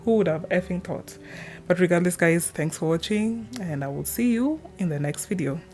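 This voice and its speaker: A young woman speaks in a fairly low voice over background music. She chats informally about her opinions, wandering into tangents as she goes. It has the loose, unscripted feel of a homemade gaming video. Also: moderate pace, mellow. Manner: informal, chatty, trailing tangents. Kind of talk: casual rambling opinion chat.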